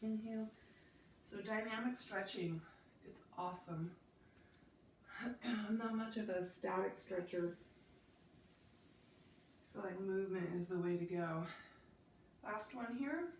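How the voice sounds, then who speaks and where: A woman with a stuffy head from a cold, calmly leading a Pilates class and cueing each movement while she demonstrates. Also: medium pitch, takes it slowly, long pauses, reverberant, distant mic, a little hiss or hum. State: congested from a cold. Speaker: Pilates instructor. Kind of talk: guided exercise class instruction.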